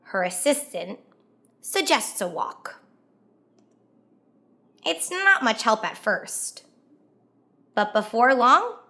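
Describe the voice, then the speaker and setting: A woman reading a children's picture book aloud as a storyteller, expressively and with drawn-out emphasis on key words. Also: high voice, pushed and bright. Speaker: children's read-aloud host.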